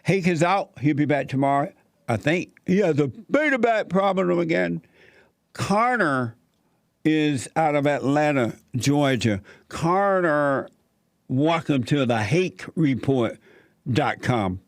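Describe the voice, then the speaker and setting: A man's voice: a little high, expressive, slow pace, no echo, studio quality. Chatty man hosting a call-in show. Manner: chatty, interrupts callers with tangents. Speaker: fill-in radio show host. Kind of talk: casual call-in talk.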